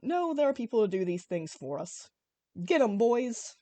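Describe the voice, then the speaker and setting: A woman's voice: a little high, sing-song, normal speed, warm tone, dry recording. A woman voicing a casual, commanding male president in a silly story reading. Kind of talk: hobby fan fiction reading.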